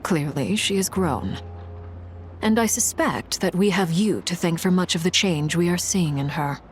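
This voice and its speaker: An actress playing a composed, formal noblewoman in a video game, speaking in a measured, restrained and solemn way. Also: on the low side, expressive, natural voice. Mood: gentle, grave. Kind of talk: dramatic video game dialogue. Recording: prominent music behind the voice.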